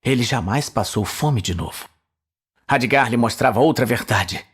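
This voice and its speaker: A man's voice: mid-range voice, normal speed, a bit bright, slightly rough. A man with a Brazilian accent giving dramatic scripted narration of a fantasy tale. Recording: music behind the voice.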